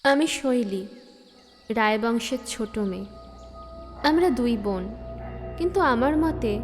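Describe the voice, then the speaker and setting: A woman's voice: a little high, expressive, slow pace, big-room sound, faint music behind. A woman playing a bitter, resentful younger daughter. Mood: bitter, hurt. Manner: bitter, complaining diary voice. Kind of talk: audio drama acting.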